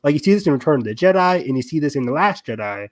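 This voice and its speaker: A man's voice: fairly high pitch, lively intonation, very fast. A man co-hosting a fan podcast, talking in a casual, conversational way. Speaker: podcast co-host.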